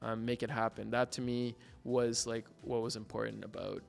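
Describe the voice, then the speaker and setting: A young man with a Mexican accent in casual interview talk, relaxed and rambling with many fillers and restarts. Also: medium pitch, moderate pace, gravelly, faint music behind. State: hungover. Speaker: graduating art student guest.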